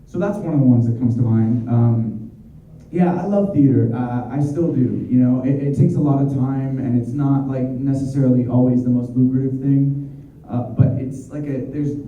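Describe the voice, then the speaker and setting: A man's voice: mid-range voice, normal speed. A man in his thirties answering fan questions at a convention panel in a chatty, rambling way with many fillers and restarts. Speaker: voice actor at convention Q&A. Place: convention hall panel room. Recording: echoey hall from far off, muffled.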